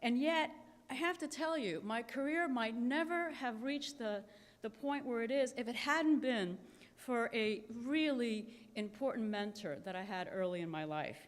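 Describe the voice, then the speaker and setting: Woman speaking in a reverberant hall. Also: on the high side. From Cuba. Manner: earnest, emphatic, slows to stress key phrases. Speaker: keynote speaker. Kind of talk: prepared speech at a conference. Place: large conference hall. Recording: echoey hall with long reverb.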